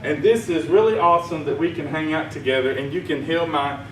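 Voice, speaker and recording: A man's voice: a little high, a little fast, a bit bright, slight background noise. A man from Arkansas with a Southern accent, giving a rambling, folksy sermon talk at a conference. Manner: folksy, rambling, chuckles at own jokes. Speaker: conference speaker. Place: conference hall. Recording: echoey room, mic heard from far.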